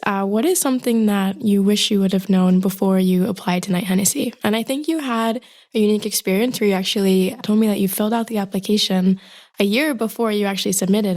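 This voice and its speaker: Young woman cohosting a chatty podcast, gushing and warm, lots of you know and like, medium pitch. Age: young adult. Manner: warm and effusive, with frequent fillers like "you know" and "like". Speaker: podcast co-host. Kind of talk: casual interview conversation.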